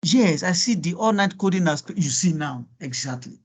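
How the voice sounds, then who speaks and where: Male, Nigerian accent, Yoruba native speaker, high-pitched, informal workshop coaching, encouraging, repetitive. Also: expressive, moderate pace, a little mellow. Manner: encouraging, repeats himself, rhetorical questions. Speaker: guest speaker coaching students on LinkedIn profiles.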